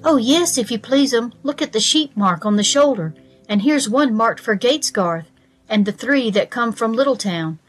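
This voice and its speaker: A woman's voice: on the high side, animated, normal speed, poor audio. A woman voicing a stout little hedgehog washerwoman from a children's storybook, anxious and deferential, with servile, rustic politeness. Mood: busy, obliging. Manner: bobbing, servile politeness with rustic turns of phrase.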